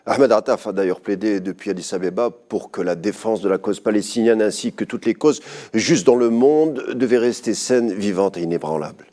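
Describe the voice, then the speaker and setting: A man's voice: lowish voice, moderate pace, dark tone. A man presenting the news in scripted French-language broadcast narration.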